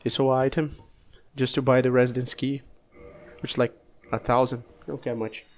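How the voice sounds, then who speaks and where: A man with a cold casually commenting on his own gameplay, relaxed and halting, coughing now and then. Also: mid-range voice. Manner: relaxed, halting, frequent restarts and fillers. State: sick, coughing.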